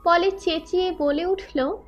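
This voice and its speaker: High-pitched woman narrating a story. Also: steady tone, takes it slowly. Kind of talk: scripted dramatic story narration. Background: faint background music.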